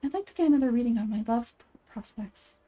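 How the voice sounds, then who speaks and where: A woman's voice: fairly high pitch, fast talker, dark tone, lightly acted. A woman voicing an earnest, lovelorn young working woman in a game, confiding and conversational. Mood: weary.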